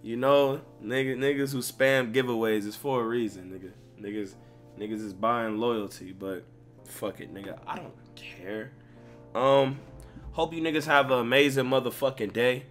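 A man's voice: mid-range voice, steady tone, normal speed. Heavily swearing man ranting over music. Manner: slangy, swearing constantly, emphatic ranting. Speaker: gaming video creator and streamer. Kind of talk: casual profane video commentary. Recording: music playing behind voice.

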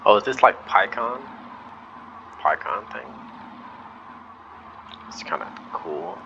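A man giving casual, low-key, murmured commentary as host of a gameplay video. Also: sing-song, slow, gravelly. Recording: muffled band-limited mic, faint noise.

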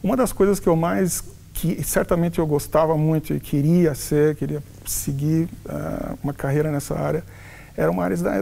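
An older man from São Paulo recounts his life in a soft, halting way, doubling back on his words. His voice is gravelly and mellow, fairly high for a man, picked up by a lapel mic in a quiet office. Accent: Paulistano Brazilian Portuguese. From São Paulo, Brazil. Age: 60s. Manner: halting, frequent restarts and self-corrections. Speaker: interviewed professor. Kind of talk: reflective life-story interview. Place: furnished office with wooden cabinets, indoors.

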